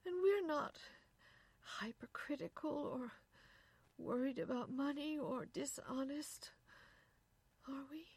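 A woman's voice: high voice, takes it slowly, long pauses, darkish tone, no echo, pitched above the performer's own voice. A woman voicing an anguished, overwrought young wife in a story reading, with tearful, pleading delivery. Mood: anxious, needy.

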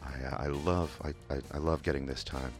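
A very deep-voiced man with a Kentucky accent hosts a community radio show from the studio. He talks in a loose, rambling way, full of "uh"s and restarts, like he is chatting between records. Faint music sits low behind his voice. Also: normal speed. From England. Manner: relaxed, rambling, many "uh" fillers and restarts. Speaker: radio DJ host. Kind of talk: casual radio DJ talk. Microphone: studio microphone.

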